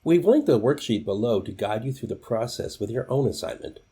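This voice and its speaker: A man's voice: medium pitch, animated, fairly quick, dark tone, husky. A man presenting scripted instructional tips, speaking directly to the viewer in an instructive way.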